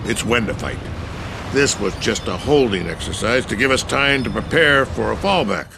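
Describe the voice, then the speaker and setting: A man's voice: medium pitch, animated, normal speed, creaky, background noise. An actor playing a stern, commanding, fatherly older admiral in a video game cutscene. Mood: authoritative. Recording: music behind the voice.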